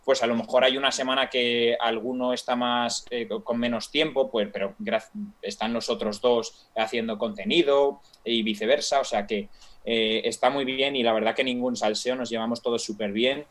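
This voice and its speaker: A young man with a Peninsular Spanish accent speaks as a guest on a casual live stream. His voice is mid-pitched, and he talks in a friendly, hesitant way, stopping often to restart with fillers. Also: steady tone, moderate pace, a bit bright. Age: young adult. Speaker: guest YouTuber.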